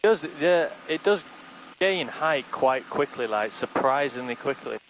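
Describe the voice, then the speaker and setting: A man's voice: medium pitch, animated, measured pace, fairly bright tone, muffled recording. A man learning to fly a helicopter, chatting informally and agreeably over a headset with engine noise. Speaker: student helicopter pilot. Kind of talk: casual chat while flying. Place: small helicopter cockpit in flight. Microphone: aviation headset.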